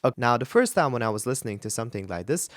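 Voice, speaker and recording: A man's voice: medium pitch, animated. A man from the Balkans, a vocal coach hosting a casual reaction video, chatty and laughing now and then as he explains. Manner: chatty, laughs often, halting over unfamiliar words. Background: music playing faintly.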